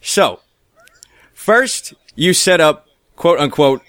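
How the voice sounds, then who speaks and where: Podcast co-host, male, reads in a bouncy pitchman style, a little high, dry mic. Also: lively intonation, takes it slowly, a bit bright, dry recording. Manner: mock pitchman delivery while reading. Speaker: podcast co-host reading an article aloud. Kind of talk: comedic podcast reading with banter.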